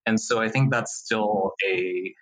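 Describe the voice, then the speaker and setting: Bright, husky man in his thirties. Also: lowish voice. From Taiwan. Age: 30s. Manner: reflective, measured, with fillers. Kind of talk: casual conversation.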